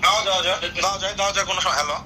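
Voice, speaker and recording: A man's voice: sits high, racing, pushed and bright. A man with a Bangladeshi accent chatting casually and playfully on the phone. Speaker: prank call recipient. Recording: noisy phone call.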